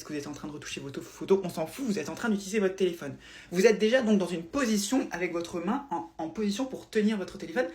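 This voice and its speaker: A woman's voice: mid-range voice, darkish tone. Woman explaining things in a casual, didactic way. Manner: didactic, emphatic, repeats and rephrases. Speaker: presenter explaining phone interface design. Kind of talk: casual explainer talk to viewers.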